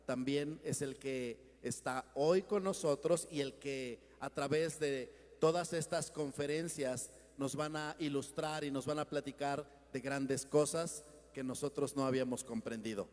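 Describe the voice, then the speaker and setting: A man's voice: medium pitch, steady tone, unhurried, a little mellow. A man giving a formal welcome to guests at a church conference. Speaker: event host. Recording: reverberant hall.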